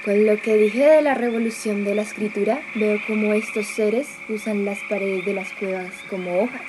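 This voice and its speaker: A woman's voice: medium pitch, fairly even intonation, moderate pace, close to an everyday voice. A woman playing an awed explorer in a student radio drama, narrating discoveries with wondering questions and exclamations. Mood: curious, puzzled. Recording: noisy amateur recording.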